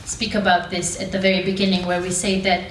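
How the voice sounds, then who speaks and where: A woman's voice: fairly low pitch, monotone, fast. A woman giving a spontaneous, explanatory comment in a group discussion. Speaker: discussion participant. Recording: echoey room, distant mic, faint noise.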